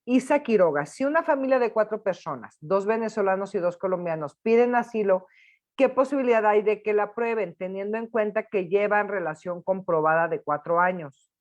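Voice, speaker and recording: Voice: medium pitch, brisk. Friendly host. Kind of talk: live show host reading viewer questions.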